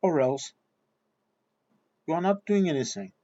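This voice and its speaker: A man's voice: on the high side, animated, a little slow, dark tone, dry recording, lo-fi sound. A man with a Portuguese accent, Portuguese his first language, delivering a blunt, combative monologue straight at his viewers. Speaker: video commentator. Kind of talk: ranting monologue.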